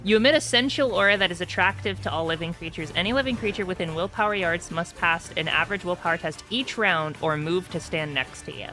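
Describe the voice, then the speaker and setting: Low, bright-voiced woman running a game. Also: quick pace, few pauses. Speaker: game master. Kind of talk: running a tabletop roleplaying game. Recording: background music under the voice.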